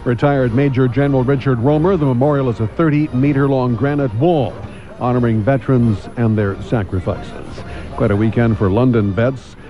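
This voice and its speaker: Mellow Canadian man anchoring radio news. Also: mid-range voice, moderate pace. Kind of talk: morning radio newscast, conversational scripted delivery.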